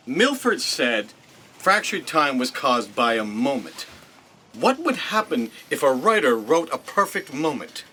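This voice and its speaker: A man's voice: medium pitch, sing-song, a little slow, pushed and bright, slight background noise. A man playing an earnest, determined space adventurer in an audio drama, reasoning aloud emphatically. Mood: puzzling it out.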